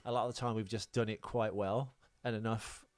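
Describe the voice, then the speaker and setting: A man with a fairly low voice chats on an unscripted podcast. He rambles casually, swears easily and is happy to run himself down. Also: flat delivery, brisk, no echo. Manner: sweary, self-deprecating, rambling. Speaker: podcast co-host.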